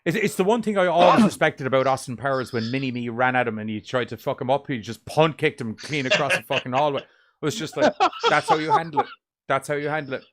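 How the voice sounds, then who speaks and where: A man co-hosting a casual horror movie group chat, rambling and swearing as he tells animated stories. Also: medium pitch, fast talker. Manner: rambling, profane, animated storytelling. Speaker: podcast co-host.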